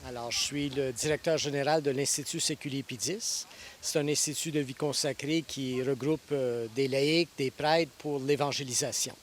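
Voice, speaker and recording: A man in his sixties from Ontario, a priest answering interview questions thoughtfully, pausing and restarting often. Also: medium pitch, steady tone, slight background noise. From Ontario, Canada. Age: 60s. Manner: thoughtful, with frequent hesitations and restarts. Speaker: priest and guest preacher.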